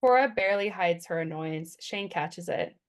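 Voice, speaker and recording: A woman's voice: on the low side, lively intonation, moderate pace. A woman reading scripted stage directions as the narrator of a table read.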